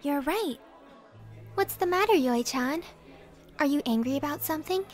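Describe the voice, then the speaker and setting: A woman's voice: sits high, animated, moderate pace. A woman voicing an admiring, dreamy teenage schoolgirl in a manga dub, girlish and gushing. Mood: fond, teasing. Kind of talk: manga fandub acting. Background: music.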